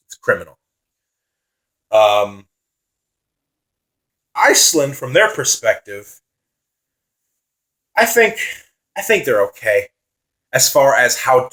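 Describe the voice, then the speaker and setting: A young man giving casual football reaction commentary on his own channel, enthusiastic and repeating phrases for emphasis, his voice dry and slightly raspy from talking so long. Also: on the low side, fairly bright tone. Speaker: football vlogger.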